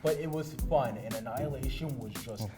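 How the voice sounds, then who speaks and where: A man hosts a casual panel discussion in an average-pitched, conversational voice. He guides the talk along as host. Music plays clearly underneath him. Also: fairly quick. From Canada. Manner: conversational, steering the discussion with questions. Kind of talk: casual panel discussion about pop culture. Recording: music playing behind the voice. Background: background music.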